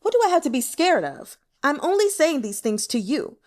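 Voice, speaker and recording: Woman playing elderly male aristocrat, high and animated, blustery, lecherous, complaining, storybook character. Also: normal speed. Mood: indignant. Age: older. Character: lecherous, grumbling elderly male nobleman, brothel client.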